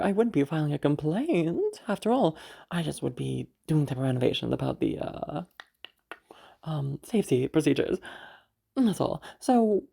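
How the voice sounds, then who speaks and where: Woman acting a husky, domineering, falsely sweet mother. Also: low-pitched, dark tone, heavily acted. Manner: condescending, drawn-out, veiled threats. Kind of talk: amateur audio roleplay acting.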